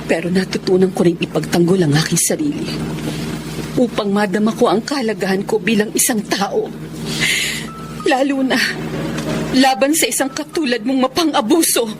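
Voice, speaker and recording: A woman playing a resolute wronged wife in a Filipino audio melodrama, with an emphatic, deliberate delivery and a Filipino accent. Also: mid-range voice, music in the background, natural voice. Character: wronged wife Samantha, resolute, standing up to abusive husband. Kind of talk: Filipino radio-style melodrama acting.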